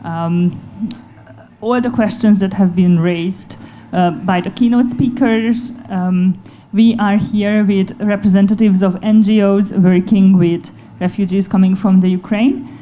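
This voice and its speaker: Woman, panel moderator, mellow mid-range voice, live event, faint background noise. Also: mid-range voice, fairly even intonation, a little slow. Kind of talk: introducing a panel discussion.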